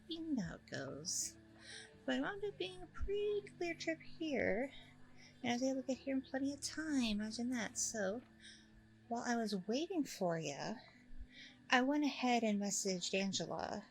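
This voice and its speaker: A woman's voice: medium pitch, creaky, rough recording. A woman playing a friendly, nervous single mom on a blind date in an ASMR roleplay, rambling casually in a bubbly way. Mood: nervous, chatty. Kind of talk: ASMR audio roleplay, conversational.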